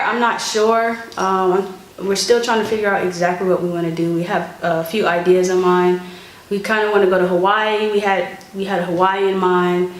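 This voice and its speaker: A woman in her twenties from Florida chatting casually to viewers as host of a family vlog. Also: mid-range voice. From Florida, United States. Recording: roomy echo, faint background noise.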